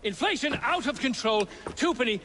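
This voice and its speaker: An actor playing a pompous, indignant Victorian gentleman in a dramatic game cutscene, blustering and agitated. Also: very high-pitched, expressive, normal speed, exaggerated voice. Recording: long hall reverb, faint music.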